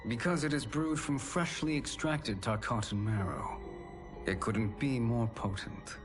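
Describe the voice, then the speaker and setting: A man's voice: mid-range voice, measured pace, lightly acted. An actor playing a scheming male sorcerer-scientist in a video game, speaking in a formal, articulate and condescending manner. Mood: clinical, assured. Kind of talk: video game cutscene acting. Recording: loud music behind the voice. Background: loud music.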